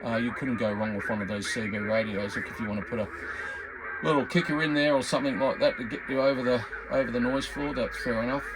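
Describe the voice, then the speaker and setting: A man giving a casual, hobbyist demonstration commentary, presenting in an informal way. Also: mid-range voice. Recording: loud radio static and noise. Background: CB radio static and chatter.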